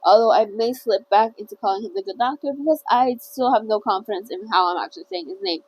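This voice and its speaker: A woman's voice: on the high side, animated, quick pace, vocal fry, a little mellow, breathy edge, poor audio. A woman hosting a casual read-along of a novel, chatty and stumbling now and then over hard words. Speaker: channel host reading a novel aloud.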